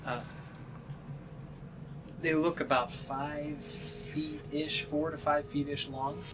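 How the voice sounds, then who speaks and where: A man narrating a tabletop game as game master, describing scenes in a halting, improvised way. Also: a little high, level tone, music in the background. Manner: halting, pieces descriptions together as he goes. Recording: clearly noisy room sound.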